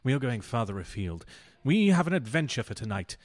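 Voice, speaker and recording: An actor playing a playful, hearty gentleman in a radio play, keen on adventure, with a flowery turn of speech. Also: medium pitch, very animated, fairly quick. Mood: jovial, eager.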